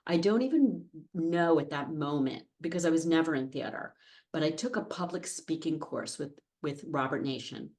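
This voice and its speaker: Woman, fifties, American accent, low voice, chatty, self-deprecating, casual podcast guest. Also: normal speed, darkish tone. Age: 50s. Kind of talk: casual anecdotal interview conversation. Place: podcast studio.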